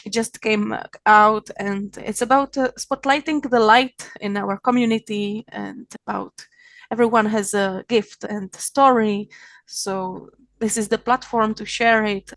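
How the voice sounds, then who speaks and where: Czech-accented woman, halting and friendly. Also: mid-range voice, dry recording. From Czech Republic. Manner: warm, slightly halting non-native English with fillers. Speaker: guest, magazine founder. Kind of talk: casual interview chat.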